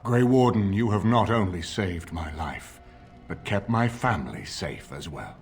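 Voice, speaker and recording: An actor playing a grave, authoritative middle-aged lord in a video game, speaking in a formal, measured way with a husky voice. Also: male voice, sits low, expressive, faint music behind, full character voice. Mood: grave, grateful. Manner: formal, measured, stately delivery. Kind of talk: dramatic video game voice acting.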